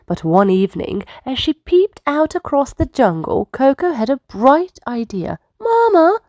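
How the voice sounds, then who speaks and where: A woman reading bedtime stories for children in an expressive, theatrical way with deliberate phrasing. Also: on the high side, no room sound, studio quality. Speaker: children's story reader. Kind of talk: expressive bedtime storybook narration.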